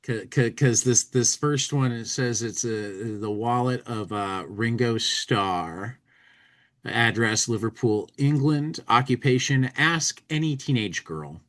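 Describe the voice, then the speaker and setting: A man's voice: medium pitch, slow pace, a bit bright. A man co-hosting a podcast, talking casually and reading humor material aloud, often repeating words as he goes. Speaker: podcast co-host. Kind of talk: casual commentary with dramatic reading from a humor magazine.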